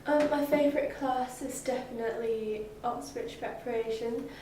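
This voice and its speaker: A woman's voice: on the high side, steady tone, moderate pace, mellow. Teenage girl from Hong Kong, hesitant. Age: teens. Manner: hesitant, many "like" fillers. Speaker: summer school student. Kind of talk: interview answers. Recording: echoey room, distant mic, faint noise.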